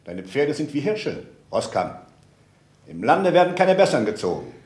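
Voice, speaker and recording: A man's voice: medium pitch, huge pitch swings, moderate pace, darkish tone, breathy edge, dry recording. An actor playing a haughty, sneering man of the castle in a German radio play, with an imperious manner. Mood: covetous. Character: haughty, sneering male castle official or young nobleman.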